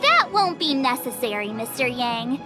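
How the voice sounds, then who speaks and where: Excitable, high-pitched girl detective. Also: normal speed, loud music behind the voice. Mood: self-assured. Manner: perky, theatrical, self-important. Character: bubbly, excitable teenage girl playing amateur detective. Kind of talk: anime-style video game voice acting.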